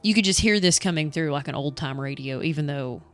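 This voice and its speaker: Casual podcast co-host, middling pitch. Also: fairly quick, a bit bright. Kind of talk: casual chat.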